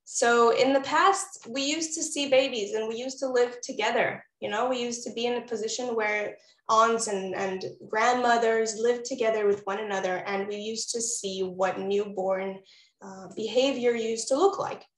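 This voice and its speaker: A woman's voice: on the high side, fairly even intonation, moderate pace, reverberant, rough recording. A woman from Israel, a postpartum doula, giving a webinar presentation in an encouraging way that speaks straight to the audience over a video call. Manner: warm, encouraging, addresses audience directly.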